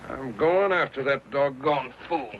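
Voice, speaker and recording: A man's voice: mid-range voice, measured pace, a crowd in the background, close to an everyday voice. An actor playing a resolute, plain-spoken American frontier man in a radio western, with a firm manner. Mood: determined. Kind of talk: old-time radio western acting. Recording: dull, faintly noisy radio recording.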